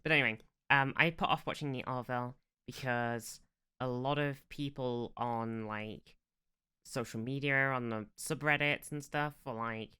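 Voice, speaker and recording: A man's voice: mid-range voice, steady tone, measured pace, bright, forceful tone, clear, resonant tone. A man hosting a podcast in casual chat, with a blunt manner and a habit of acting out quotes. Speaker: podcast host.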